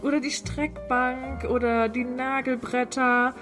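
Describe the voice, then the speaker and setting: Casting: female podcast co-host, slightly high voice, chatty storyteller, casual delivery, soft music underneath. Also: little pitch movement, a little slow. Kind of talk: casual chat.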